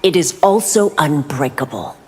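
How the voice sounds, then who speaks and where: An unyielding female officer of the law in a fighting game. Her voice is firm and fairly low, ringing with long reverb over loud noise. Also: expressive, moderate pace. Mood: resolute. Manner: firm, authoritative. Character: stern, relentless female law enforcer pursuing a villain. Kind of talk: video game character dialogue.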